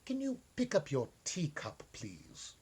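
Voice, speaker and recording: A man voicing a polite, practical and quietly stubborn elderly English widow in a storybook reading, mild and matter-of-fact. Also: a little high, huge pitch swings, slow, slightly rough, voice pushed up, full character voice. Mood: polite, wary. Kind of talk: storybook character dialogue.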